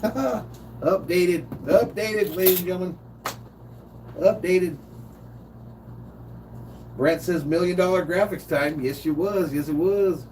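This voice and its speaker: A man's voice: high voice, slow pace, pauses often, a little mellow. An older man streaming casual play-by-play of a game, chatting with viewers in a laid-back way. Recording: distant mic, faint room noise.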